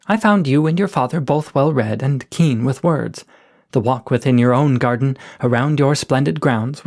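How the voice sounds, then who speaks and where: A man voicing a shy, poetic male coyote, reading his gentle, eloquent letters aloud. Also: mid-range voice, close to an everyday voice. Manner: gentle, eloquent, self-effacing.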